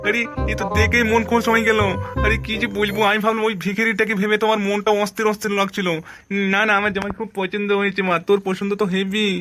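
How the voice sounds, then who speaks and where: A man's voice: sits high, monotone, fast talker, sharp tone. A man voicing a blustering, colloquial middle-aged headmaster and father in an amateur comic cartoon. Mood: delighted. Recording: music behind the voice.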